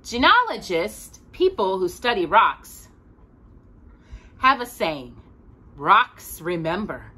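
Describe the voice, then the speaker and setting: A middle-aged woman hosts a children's storytime with a lively, swooping delivery. Her voice is bright and forward, and she takes her time through each phrase, leaning on important words with clear expression. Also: medium pitch, slight background noise. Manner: expressive, deliberate, with emphatic word stresses. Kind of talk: animated read-aloud of a picture book to a child. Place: small indoor room with bookshelves.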